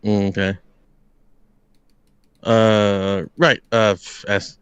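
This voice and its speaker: A man's voice: lowish voice, little pitch movement, very slow, smooth, no room sound, highs cut off. A man giving casual reactions as a guest, hesitant and often repeating his words as he starts. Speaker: guest reacting to game trailers.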